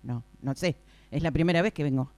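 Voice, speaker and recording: A woman's voice: low voice, fairly quick, darkish tone, dry recording. A woman with an Argentine accent playing a naive, pushy, flustered new office clerk who repeats herself and stammers, in a radio play. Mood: puzzled, evasive. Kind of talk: improvised radio play acting.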